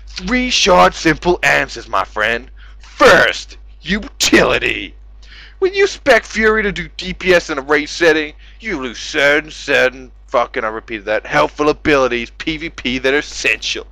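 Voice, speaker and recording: Guy reading forum posts all sarcastic n sweary, bright forceful gravelly voice, dry close mic. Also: a little high, sing-song, moderate pace, dry recording, poor audio. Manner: exaggerated, sarcastic, exasperated. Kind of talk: mocking, profane dramatic reading of forum posts. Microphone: close mic on gaming voice chat.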